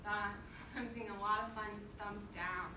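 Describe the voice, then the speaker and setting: A woman's voice: mid-range voice, fairly even intonation, measured pace. A woman teaching through an interactive storybook read-aloud, encouraging and often putting questions to her young listeners. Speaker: student teacher reading aloud to a class. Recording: roomy, distant microphone, clear background noise.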